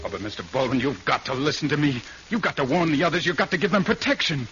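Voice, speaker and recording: A man's voice: a little high, expressive, fast talker, a bit bright. An actor playing a frightened, earnest man pleading in a stammering, urgent way, in a 1940s radio thriller. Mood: anxious, pleading. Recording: muffled, hissy, noisy old radio.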